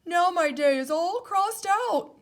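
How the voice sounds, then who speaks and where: A woman plays an anxious, stubborn storybook toad who gets worked up easily. He is loud and wailing, pitched high, with big swoops and drawn-out words. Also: animated, full character voice. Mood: glum, resigned. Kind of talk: children's storybook character voice.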